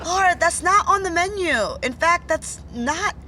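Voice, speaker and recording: An actress playing an anxious, wry young amateur comedian in a podcast sitcom, speaking emphatically. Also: female voice, very high-pitched, moderate pace. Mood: assured, wry. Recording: faint background noise, vehicle ambience.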